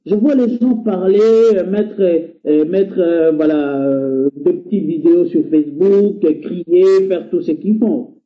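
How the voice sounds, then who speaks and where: A man's voice: on the high side, slow, hardly any pauses. Earnest man on a tinny call line. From Democratic Republic of the Congo. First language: Lingala or another Congolese language. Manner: earnest and exhorting, with frequent filler hesitations. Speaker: guest speaker. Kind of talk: impassioned call-in talk. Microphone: internet call.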